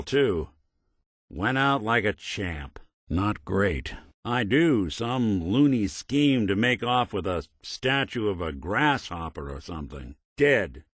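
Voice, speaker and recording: A man's voice: medium pitch, a bit bright, no room sound, exaggerated voice. A man playing a world-weary, middle-aged android private detective in a video game, with a dry, laconic, wry noir delivery.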